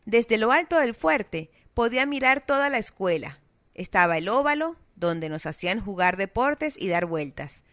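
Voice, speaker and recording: A woman with a South American Spanish accent, reading a children's story aloud in a steady, expressive way. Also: mid-range voice, moderate pace, no echo, studio quality. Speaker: narrator. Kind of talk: scripted storybook narration.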